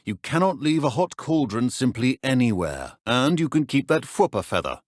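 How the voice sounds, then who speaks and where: An actor playing a stern, dry-witted middle-aged British potions professor in a video game, speaking in a measured, exacting, deadpan manner. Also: male voice, mid-range voice, dry recording, natural voice. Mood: dry, composed. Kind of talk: scripted game dialogue.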